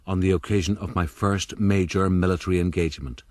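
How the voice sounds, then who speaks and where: An actor playing a gentleman recounting his youth in a measured, literary way, as radio drama narration. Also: low voice, fairly even intonation, a little hiss or hum, close to an everyday voice. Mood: reflective.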